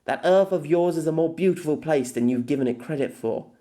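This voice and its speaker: A man's voice: fairly high pitch, warm tone, lightly acted. A man voicing a weary, lonely, long-lived empress in an audiobook, reading her farewell letter in a formal, wistful manner. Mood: fond, regretful. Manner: formal, wistful, measured with dramatic pauses. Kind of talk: scripted audiobook narration of a letter.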